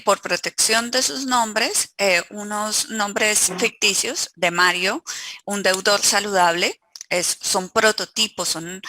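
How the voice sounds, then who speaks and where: A woman giving an academic conference talk over a video call, pausing often with filler sounds. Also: mid-range voice, pushed and bright, dry recording. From Colombia. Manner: hesitant, many filler sounds. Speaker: academic presenter.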